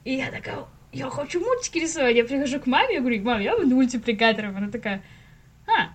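A woman's voice: sits high, very animated, fast. A woman around thirty chatting casually as a podcast guest, retelling stories in slangy speech and acting out people's reactions. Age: late 20s to early 30s. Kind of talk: casual chat, storytelling.

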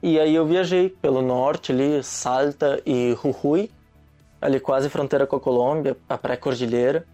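A man's voice: medium pitch, normal speed, mellow, soft background music. A young man, a guest in a casual chat, telling stories in an easygoing, slangy way. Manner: easygoing storyteller, slangy, laughs often.

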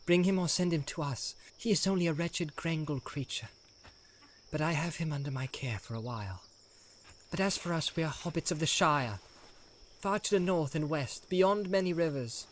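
A man doing an earnest young hobbit, gentle and formal, for a storybook reading. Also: normal speed, a little hiss or hum, lightly acted. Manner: soft, earnest storytelling voice raised higher than the narrator.

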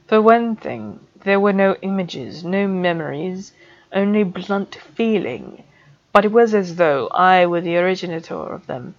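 A woman reader voicing a stern male potions professor who speaks slowly and with clipped control, on a dull lo-fi recording. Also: lowish voice. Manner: slow, measured and clipped.

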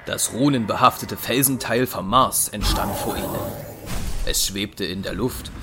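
A man with a fairly low voice narrates a scripted science-fiction audio drama. He reads in the role of storyteller, with music clearly playing behind him. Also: a little slow, pushed and bright.

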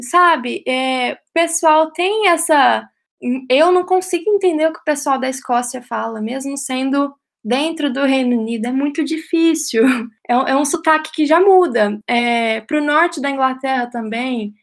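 High-voiced chatty woman. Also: lively intonation, unhurried. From Brazil. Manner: chatty, explains at length with frequent "né?" and light laughs. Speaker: guest, professional ballerina living in England. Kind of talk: casual interview answers.

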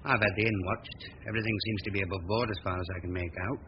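A man's voice: on the low side, fairly even intonation, fast, close to an everyday voice. Man acting blunt policeman, muffled old radio. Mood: matter-of-fact. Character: blunt, dour, middle-aged police officer. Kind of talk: 1940s radio drama acting. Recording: dull, noisy 1940 radio recording.

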